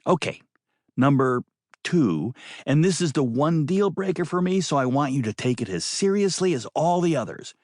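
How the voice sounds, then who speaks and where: A man's voice: a little high, animated, moderate pace, darkish tone, no echo, studio quality, pitched above the performer's own voice, close to an everyday voice. A man voicing a bossy, scolding woman in an audiobook, lecturing emphatically like an exasperated ex-girlfriend. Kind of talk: audiobook character voice.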